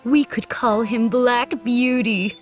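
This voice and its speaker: A man's voice: steady tone, takes it slowly, soft background music. A man narrating a children's storybook, putting on a woman's voice for a mare and for the squire's wife. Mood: gentle. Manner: high falsetto, sharp. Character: haughty female chestnut mare and lady.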